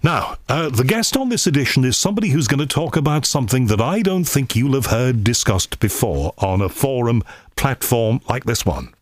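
A man's voice: medium pitch, big pitch swings, moderate pace, dry recording, studio quality. Chatty male podcast host. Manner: chatty, enthusiastic, many ums. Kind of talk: scripted podcast introduction and interview. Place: cold room at home.

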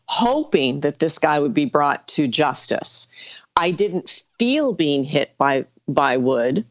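A middle-aged woman, a psychic guest in an interview, recounting her experiences in an earnest, deliberate way. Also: lowish voice, no room sound. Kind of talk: conversational storytelling interview.

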